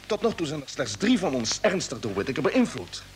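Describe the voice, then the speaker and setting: An actor playing a determined, earnest spaceship commander in an old Dutch radio drama, speaking emphatically. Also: male voice, on the high side, big pitch swings, fairly quick. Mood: resolute. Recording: noisy vintage radio play recording.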